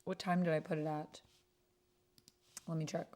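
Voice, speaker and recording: Female stream host with a lowish voice, chatty, informal delivery aimed straight at viewers. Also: flat delivery, normal speed, background noise. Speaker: livestream host. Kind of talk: casual chat with livestream viewers.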